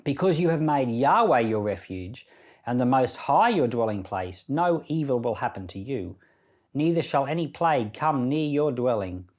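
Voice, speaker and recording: Guy pastor doing a bible podcast, mid pitch voice, reflective and conversational, reading and explaining scripture. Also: big pitch swings, moderate pace. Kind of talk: Bible reading and informal devotional commentary.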